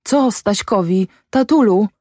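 A woman's voice: medium pitch, slow pace, no room sound, studio quality, pitched above the performer's own voice. A woman voicing a scared, angry peasant boy who cries out in rustic speech in an audiobook. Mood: frightened. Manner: shouting rustic exclamations.

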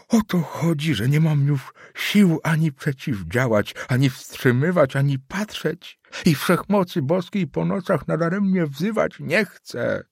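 A man voicing a cranky old male official who rants in a shrill, slow voice. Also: husky, no room sound, clean studio sound, full character voice. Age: older. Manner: shrill, slow, shouting tirades. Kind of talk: audiobook character voice.

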